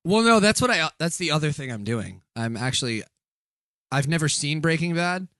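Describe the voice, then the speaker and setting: Joking man with a bright tense voice. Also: fairly high pitch, very animated, dry recording, clean studio sound. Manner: jokey, quick, mock voices for bits. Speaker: podcast co-host. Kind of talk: casual banter.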